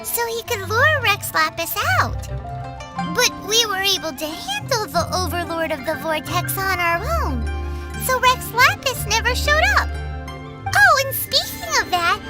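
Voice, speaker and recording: Tiny, very high childlike game character. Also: female voice, big pitch swings, unhurried, heavily acted. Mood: chirpy, thoughtful. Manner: cute, childlike, emphatic. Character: small chirpy childlike fairy companion or little girl. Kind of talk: video game voice acting. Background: game music.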